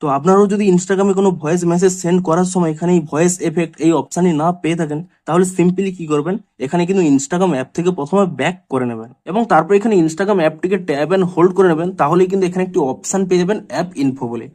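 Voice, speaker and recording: A man's voice: sits high, racing, hardly any pauses, darkish tone. A man narrating a step-by-step tutorial as a tech YouTuber, running instructions together in long chained sentences.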